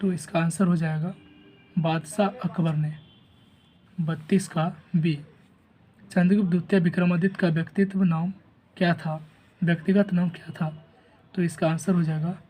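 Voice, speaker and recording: A man with a sore throat and a rough voice, steadily reading exam questions and giving each answer and option like a teacher. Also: a little high, flat delivery, a little slow, pauses often, warm tone. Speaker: teacher going over an exam answer key.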